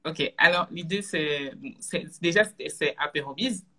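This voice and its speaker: A woman in her thirties from Belgium, a guest on a live video chat, talking casually with many pauses and restarts. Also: sits low, bright, forceful tone, husky. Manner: hesitant, many fillers and restarts. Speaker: guest, entrepreneur. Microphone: video call.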